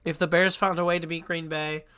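Young male podcast host, congested from a cold, fairly high and bright, casual and blunt. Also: flat delivery, a little fast, clean tone. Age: young adult. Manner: chatty and blunt, with frequent restarts. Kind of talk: casual solo sports podcast.

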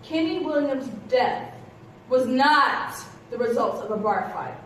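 A woman's voice: sits high, lively intonation, measured pace, a bit bright. A woman acting a stern, accusing younger police investigator in a live stage play, confrontational and emphatic. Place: theatre stage with rows of seats. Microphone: distant camera. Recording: echoey theatre stage from far off, noisy.

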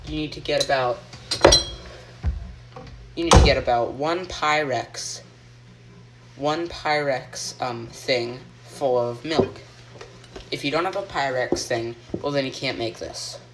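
Teen boy host, deadpan joke cooking show, phone-filmed in a kitchen, hissy audio. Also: mid-range voice, slow pace, long pauses. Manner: deadpan, rambling, with ums and mock instructions. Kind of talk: joking mock cooking show. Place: home kitchen, indoors. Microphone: phone camera held by a friend. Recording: noisy home phone video.

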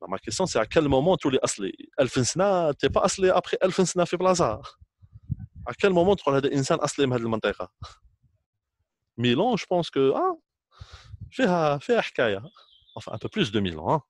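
A man in his thirties with an Algerian accent talks quickly and informally to the camera. He switches between languages and repeats points for emphasis. The sound is dry and close. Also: on the high side, big pitch swings, no room sound. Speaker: trainer speaking to students.

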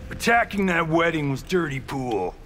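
A cocky young fighter in a fighting game whose taunting voice rises and falls a lot. Music plays clearly underneath. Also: male voice, a little high, big pitch swings, normal speed, fairly bright tone.

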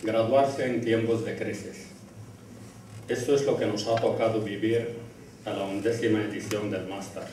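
A man's voice: fairly low pitch, warm tone, recorded from a distance, slight background noise. A middle-aged man giving a formal graduation speech, reading from notes at a lectern in a classroom. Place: indoor classroom, standing at a lectern. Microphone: lectern mic, filmed by a far camera. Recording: echoey room heard from afar.

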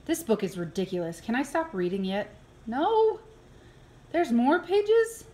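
A woman reading a children's picture book aloud in an expressive, playful way, with exaggerated reactions. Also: fairly high pitch, unhurried, a little hiss or hum. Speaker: early childhood program coordinator reading aloud. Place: indoors, filmed over an open book.

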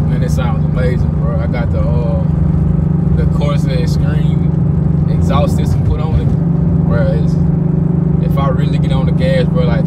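A young man chatting casually to his viewers from the driver's seat of a moving car, filming on his phone, relaxed and full of "man" and "bro". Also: mid-range voice. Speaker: vlogger. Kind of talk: casual chat while driving. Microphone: phone camera in the car. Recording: distant mic with loud road and engine noise.

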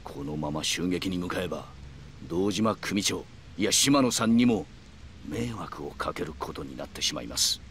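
An actor playing a calm, calculating middle-aged yakuza man who speaks in polite, measured, formal phrases in a video game. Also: low voice, slight background noise. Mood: cool, persuasive. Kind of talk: Japanese video game cutscene acting.

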